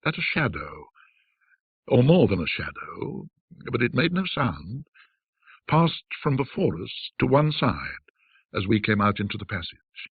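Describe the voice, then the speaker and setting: A man narrating a classic ghost story in a measured, dramatic, old-fashioned storytelling manner. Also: on the low side, very animated, creaky, slightly rough, dry recording, narrow, tinny audio.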